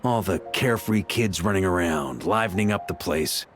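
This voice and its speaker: A man's voice: low-pitched, animated, moderate pace. An actor playing an easygoing male knight in a video game, talking in a casual, wry and reflective way over background music. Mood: reflective, sincere.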